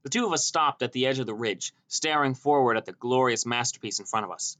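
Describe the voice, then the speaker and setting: A man's voice: mid-range voice, fast talker, a bit bright. A man reading a scripted first-person story as an audiobook narrator.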